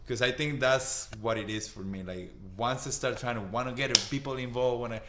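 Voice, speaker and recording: A man's voice: medium pitch, steady tone, noisy background. Earnest Colombian-born man, echoey room. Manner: earnest, halting, repeats words. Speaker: podcast guest. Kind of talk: casual conversation.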